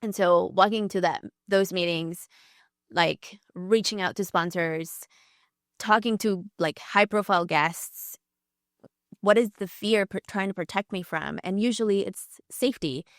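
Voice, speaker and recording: A woman's voice: mid-range voice, unhurried, dry recording, clean studio sound. A woman in her thirties, a podcast guest, talking candidly and reflectively, full of "like" fillers. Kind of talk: conversational interview.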